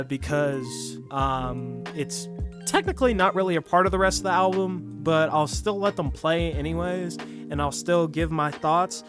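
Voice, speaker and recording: Man, slightly high voice, sick, casual rambling album review, clear music behind. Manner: rambling with many fillers and restarts. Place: bedroom.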